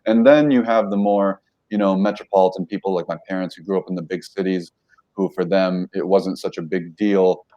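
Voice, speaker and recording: A man with a notably deep, low-pitched voice talks through his background as an interview guest. He rambles a little, stops to correct himself and leans on fillers as he goes. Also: fairly even intonation, a little fast, dark tone, highs cut off. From United States. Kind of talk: casual interview talk.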